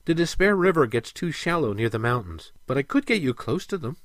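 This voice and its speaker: Man acting as female mermaid, high voice, gentle, earnest, stately phrasing, storybook fantasy, close dry sound. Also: sing-song, brisk, no room sound. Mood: helpful, informative.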